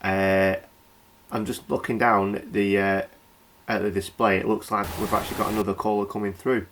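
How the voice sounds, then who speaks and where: A man's voice: on the low side, level tone, moderate pace, fairly bright tone, slight background noise, poor audio. A man with a British accent playing a flustered, nervously apologetic local radio presenter who stammers and rambles in a comedy horror radio play. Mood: nervously chatty.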